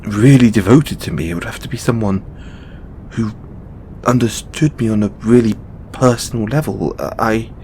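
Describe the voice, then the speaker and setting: Low, halting god boyfriend acted by a man. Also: warm tone, close to an everyday voice. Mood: earnest, hesitant. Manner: halting, stammering, repeats words. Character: male god, flustered tender boyfriend to his mortal devotee. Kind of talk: intimate audio roleplay. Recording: noticeable low rumbling background noise.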